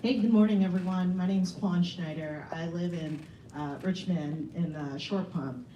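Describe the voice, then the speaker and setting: A woman's voice: lowish voice, unhurried, muffled recording. A woman on an advisory board introducing herself at a meeting in an upbeat, enthusiastic way. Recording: echoey room, distant mic, background noise.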